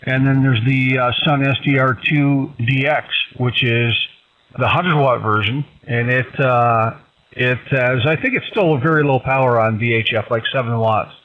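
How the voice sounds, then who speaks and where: A man's voice: mid-range voice, steady tone, moderate pace. Male radio operator, mid-range voice, casual and informative, narrow tinny transmission.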